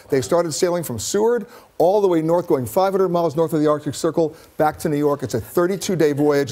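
Brisk conversational TV correspondent, a man. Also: a little high, a little mellow. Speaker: travel editor, correspondent. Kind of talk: news feature narration and studio chat. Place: TV news studio.

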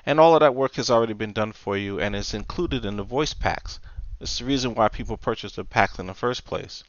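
Guy doing a casual screen tutorial, lowish voice, explains each step, slightly dull audio. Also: a little fast, dry recording. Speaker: tutorial presenter.

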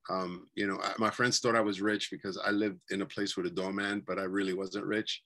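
A man's voice: low-pitched, little pitch movement, a little fast, a bit bright. A man in his forties with a New York accent, recalling his childhood in a rambling, casual way, full of fillers and restarts, speaking on a video call from a bedroom at home. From New York City. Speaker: guest. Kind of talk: casual interview chat. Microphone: webcam on a video call.

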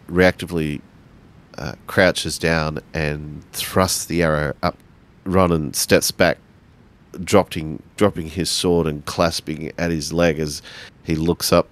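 A man with a very low voice narrates his part in a tabletop role-playing game. He speaks slowly and in measured, halting pieces with pauses between them.

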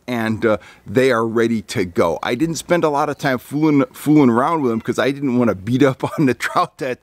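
Man, fifties, fishing guide, casual, dry sound, mid pitch, ums and restarts. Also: no room sound. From California. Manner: rambling, frequent ums and restarts, lively on recommendations. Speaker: fishing guide and channel host. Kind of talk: casual fishing report to camera. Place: outdoor deck among pine trees.

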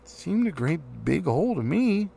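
A man voicing an eager, flustered little male hobbit in a squeaky, excitable manner in a storybook reading. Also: moderate pace, creaky, breathy edge, heavily acted. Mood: excited. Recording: faint background noise.

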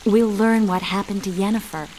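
A woman playing an earnest, caring young sorceress in a video game, speaking in a measured way. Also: mid-range voice, fairly even intonation, a little mellow, slight background noise, lightly acted, poor audio. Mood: worried.

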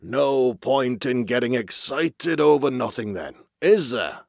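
A man's voice: medium pitch, expressive, takes it slowly, creaky, a little mellow, no echo, clean studio sound, full character voice. Old walrus chief, acted by a male storyteller: big, blustery, self-satisfied, grunting, storybook style. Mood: relieved, complacent.

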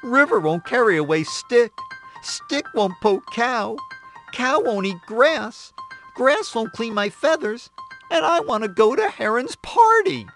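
A woman's voice: on the high side, sing-song, measured pace, voice pushed up. A woman voicing an eager, fussy male rooster in a children's storybook, pleading as she repeats a growing list of requests. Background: music.

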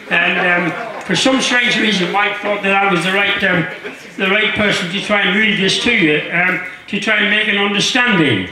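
A jovial man in his sixties reading aloud haltingly to an audience, with humorous asides. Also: high voice. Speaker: guest reader. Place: large marquee tent with seated audience. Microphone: handheld mic through speakers, filmed from audience. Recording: very echoey PA in tent.

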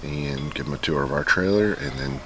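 A man in his forties hosting a casual camping vlog with dry, low-key humor, recorded outdoors at a campground on a lapel mic. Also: a little fast, noisy background. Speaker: vlog host. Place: outdoor campground under a canopy.

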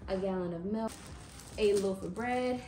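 A woman's voice: medium pitch, a little slow, very noisy. Young woman doing a chatty grocery rundown in her kitchen, bit far from the mic, roomy echo. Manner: chatty, matter-of-fact, with small restarts. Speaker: vlogger. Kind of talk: casual grocery haul walkthrough. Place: home kitchen, indoors. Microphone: camera a few feet away.

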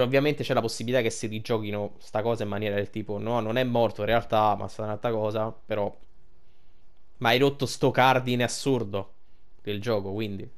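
A man giving colloquial, sweary commentary on a video game. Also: mid-range voice. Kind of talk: casual game commentary with reading dialogue aloud. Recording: game music behind the voice. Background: video game music.

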